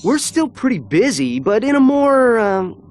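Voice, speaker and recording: A man's voice: extremely high pitch, sing-song, measured pace, darkish tone, slight background noise, heavily acted. An actor playing an eager, cocky young male space hero in a video game. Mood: awkward, deflated. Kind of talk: video game cutscene acting.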